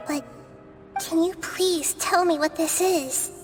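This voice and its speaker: A woman voicing a gentle, curious young girl deity who speaks softly and inquisitively. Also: very high voice, fairly even intonation, slow pace. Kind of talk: video game cutscene dialogue. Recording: game audio with music behind.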